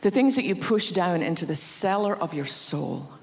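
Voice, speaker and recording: A woman from Scotland with a Scottish accent, preaching a sermon and telling a family story with gentle humor. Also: fairly low pitch, animated, moderate pace. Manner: warm anecdotal humor, acts out quotes. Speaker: guest preacher. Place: large church auditorium. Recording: reverberant church hall.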